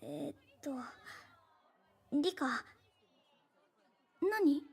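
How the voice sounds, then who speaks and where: A woman playing an earnest, gentle teenage schoolgirl in an anime game, soft and hesitant. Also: high-pitched, big pitch swings, drawn-out words, heavily acted. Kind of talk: Japanese anime game voice acting.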